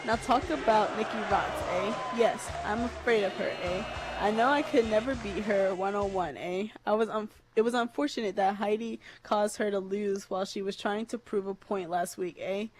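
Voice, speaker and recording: A perky female wrestling champion from Canada, as a woman reader puts her on, speaks at a medium pitch. She is sugary and phony in a comic wrestling promo, hanging "eh" on the end of nearly every sentence. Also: normal speed, hardly any pauses, music in the background, natural voice, rough recording. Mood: fake cheerful.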